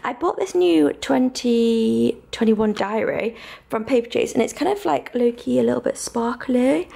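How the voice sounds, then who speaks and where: A woman's voice: a little high, a little slow, gravelly, dark tone. A woman, chatting casually and informally to camera as a vlogger, with gushing enthusiasm. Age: 20s. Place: small flat, bedroom and kitchen, indoors.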